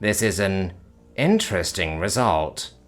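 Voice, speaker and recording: Male narrator voicing an alien soldier, low-set, deadpan, formal. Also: normal speed, faint music behind. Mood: calm, detached. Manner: flat, formal, deadpan delivery. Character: calm armored male alien, blunt, unemotional.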